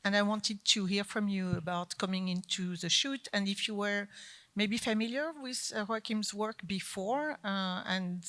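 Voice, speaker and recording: A woman moderating a film panel, asking questions in a halting way with many fillers. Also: medium pitch, a bit bright. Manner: halting, searching for words.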